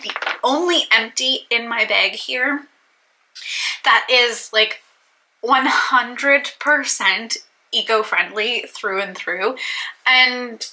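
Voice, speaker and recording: Bright, chatty congested young woman. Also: a little high, a little hiss or hum, poor audio. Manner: chatty, rambling, self-deprecating. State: stuffy and congested. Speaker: beauty vlogger. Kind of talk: casual chat to camera.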